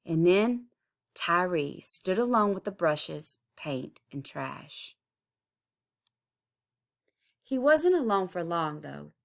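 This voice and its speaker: A woman reading a children's picture book aloud expressively, with deliberate, dramatic emphasis. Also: lowish voice, very animated, vocal fry. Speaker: storybook reader.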